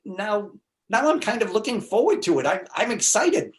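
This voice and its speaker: A man's voice: sits high, very animated, moderate pace. A man in a comedy reading, playing a neurotic, insecure widower who stammers and frets about dating. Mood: nervous excitement. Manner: stammering, anxious, self-deprecating. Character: neurotic, insecure, fretful widower facing a date. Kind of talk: comedy readers theater.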